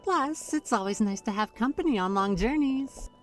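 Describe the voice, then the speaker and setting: A woman's voice: a little high, expressive, normal speed, distant mic, faint music behind, lightly acted. A woman playing a playful, proud young witch who talks in a teasing, theatrical way in a fantasy audio drama. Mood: chatty, reminiscing. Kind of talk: fantasy audio roleplay acting.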